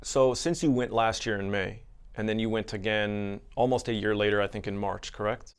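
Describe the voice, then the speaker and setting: A man's voice: fairly low pitch, fairly even intonation, moderate pace, smooth. A man hosting a podcast interview, politely checking facts with his guests. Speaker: podcast host.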